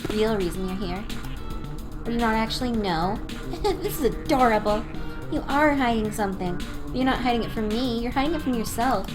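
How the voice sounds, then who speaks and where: A woman's voice: a little high, animated, moderate pace, close to an everyday voice. A woman voicing a smug, condescending female angel idol who taunts coolly, with music playing behind. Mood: mocking.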